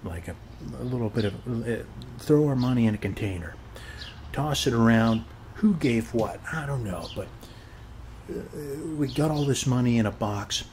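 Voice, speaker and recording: A man's voice: mid-range voice, expressive, moderate pace, a little mellow, a little hiss or hum, natural voice. A man playing a friendly, chatty suburban neighbor in a casual ASMR roleplay, rambling reassuringly in a folksy way and tagging phrases with short check-in questions. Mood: friendly, encouraging. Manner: folksy, reassuring, repeats "okay?" as a tag. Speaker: ASMR performer.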